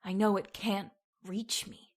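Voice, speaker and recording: An actress playing a haunted young woman recounting a terror in old-time radio horror style, halting and dramatic. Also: mid-range voice, level tone, close to an everyday voice. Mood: tense, fearful.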